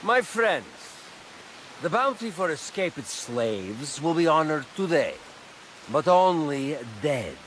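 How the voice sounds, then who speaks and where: An arrogant villain, wildly varied pitch. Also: male voice, big pitch swings, slow, a bit bright, slight background noise, heavily acted, low-quality recording. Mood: commanding, alarmed. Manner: pompous, taunting, menacing. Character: arrogant, ruthless male slaver and Templar villain. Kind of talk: dramatic video game acting.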